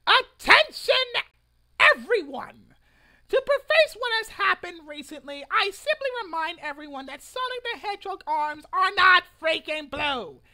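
A young man acts out a self-righteous young male internet protester in a comic dramatic reading. The voice is strikingly high and sharp, with big melodramatic swings in pitch. The delivery is stilted, pompous and whiny, full of indignation. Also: very animated, measured pace, exaggerated voice. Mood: outraged. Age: young adult. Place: living room at home, indoors.

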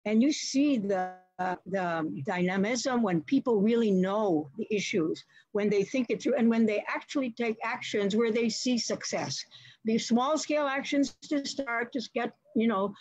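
A woman's voice: fairly high pitch, normal speed, darkish tone, no echo. An older woman moderating an academic webinar from her home study, telling a long personal story in a reflective, chatty way over a video call. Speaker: seminar moderator. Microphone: laptop video call. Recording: dull dry video-call audio.

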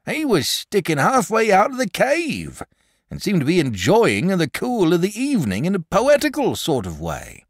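A man's voice: sits high, huge pitch swings, moderate pace, no echo, clean studio sound, pitched above the performer's own voice, full character voice. A man voicing a nervous, wordy middle-aged country shepherd with rustic speech. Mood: awed.

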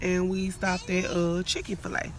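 A woman in her thirties chatting casually and slangily to viewers as a vlogger, animated and often eating, filming herself inside a car. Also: lowish voice, measured pace. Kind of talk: casual vlog chat. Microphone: phone at arm's length. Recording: noisy in-car phone recording.

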